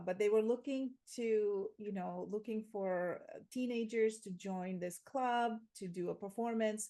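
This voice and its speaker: A woman's voice: medium pitch, darkish tone. A woman from Slovakia, telling personal stories conversationally as an interview guest, often repeating words as she goes. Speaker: interview guest, theater teacher.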